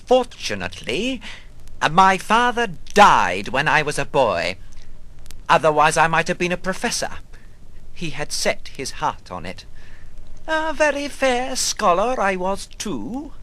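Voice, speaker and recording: Weary old drifter muttering a dramatic monologue, slow n halting, pitch all over the place. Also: male voice, very animated, pushed and bright, heavily acted, poor audio. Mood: musing, wry. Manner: halting, musing, theatrical delivery with sudden swells. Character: weary old male wanderer, brooding, rambling, morbidly wry. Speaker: actor reading a literary monologue. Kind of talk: dramatic literary monologue reading.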